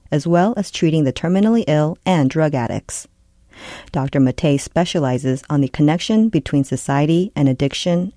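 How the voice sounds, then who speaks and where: A program host reading a scripted introduction in an even, formal manner. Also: mid-range voice, no room sound, studio quality.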